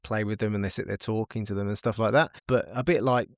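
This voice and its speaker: A man's voice: lowish voice, steady tone, quick pace, no echo, studio quality. A British man in his thirties, a guest in a casual interview, speaking frankly and often repeating words as he thinks. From United Kingdom. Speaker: podcast guest.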